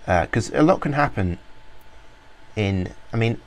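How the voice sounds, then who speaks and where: Rambling low-voiced man demoing software. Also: animated, unhurried, vocal fry. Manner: rambling, many fillers and restarts. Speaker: software reviewer. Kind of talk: informal screen-recorded walkthrough.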